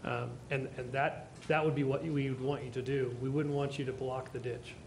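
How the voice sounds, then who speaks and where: A man's voice: mid-range voice, fairly even intonation, moderate pace, a little mellow, slight background noise. A man, a city official, explaining stormwater issues at a public meeting in a reassuring way. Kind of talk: answering questions at a public meeting.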